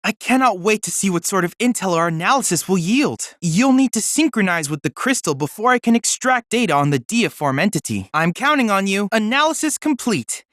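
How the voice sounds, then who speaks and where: An earnest teenage android boy serves as a research assistant in a video game. His delivery is lively, with wide swings in pitch, yet polite, formal and neatly articulated. The sound is dry, with no room around it. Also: a little fast, hardly any pauses, no room sound. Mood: excited, impressed, then helpful, advising. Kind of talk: scripted video game character dialogue.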